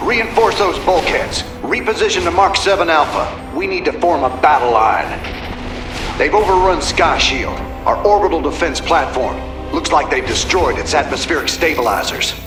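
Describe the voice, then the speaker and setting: An actor playing a gruff, weary human commander in a video game, with a Southern American accent and a blunt, casual drawl. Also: male voice, a little high, animated, moderate pace, close to an everyday voice. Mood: urgent. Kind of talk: dramatic game cinematic acting. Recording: echoey, distant, music behind.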